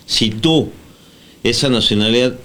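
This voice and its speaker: A man's voice: mid-range voice, unhurried, fairly bright tone. A man with a Mexican accent co-hosting a radio show, explaining at length and checking that he is followed. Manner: explains at length, asks for agreement. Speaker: radio co-host. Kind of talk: casual radio talk.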